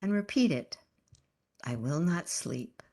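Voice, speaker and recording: A woman teaching meditation in a low voice. She speaks very slowly and gently, leaving calm pauses between short instructions. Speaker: yoga nidra meditation teacher.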